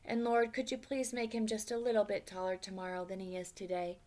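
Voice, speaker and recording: A woman voicing a mother who prays quietly over her sleeping son in a storybook. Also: mid-range voice, flat delivery, brisk, lightly acted. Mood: prayerful. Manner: quiet, prayerful.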